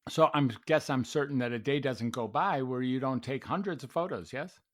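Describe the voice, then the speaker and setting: A man's voice: mid-range voice, moderate pace, a bit bright. Chatty man hosting an interview. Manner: chatty, affirming, with restarts and "mm-hmm"s. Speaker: podcast host and psychiatrist. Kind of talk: casual interview chat.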